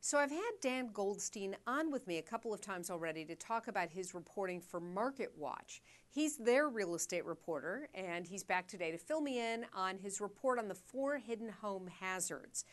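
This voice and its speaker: A woman hosting an interview show, asking conversational, curious questions while losing her voice. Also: medium pitch. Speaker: show host.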